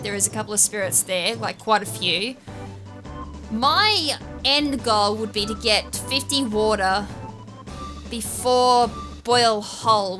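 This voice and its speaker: A woman giving casual, rambling video game walkthrough commentary, thinking aloud with an occasional stutter. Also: mid-range voice. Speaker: gaming YouTuber, series host. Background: game music.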